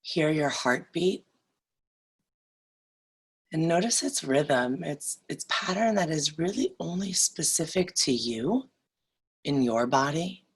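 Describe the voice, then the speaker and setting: A woman with a low, breathy-rough voice guides a meditation. She speaks very slowly and deliberately, leaving long pauses between short phrases. Her delivery is soothing and instructional throughout. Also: expressive. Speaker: yoga nidra meditation guide.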